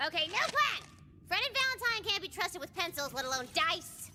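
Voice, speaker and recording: Very high-voiced young girl, swooping delivery, excitable, bossy, theatrical game narrator, noisy background. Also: a little fast, exaggerated voice. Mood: bossy, playful. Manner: playful, dramatic, bossy.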